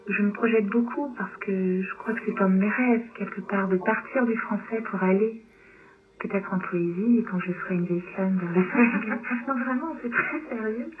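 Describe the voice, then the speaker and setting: A woman writer answering interview questions in a thoughtful, halting way, pausing between phrases. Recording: tinny, noisy low-bitrate shortwave radio.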